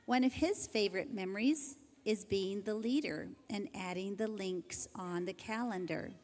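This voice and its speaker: An older woman teacher reading graduation introductions into a handheld microphone, in a gentle, measured way. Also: medium pitch. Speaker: kindergarten teacher. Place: large church sanctuary. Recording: hall reverb from a church PA.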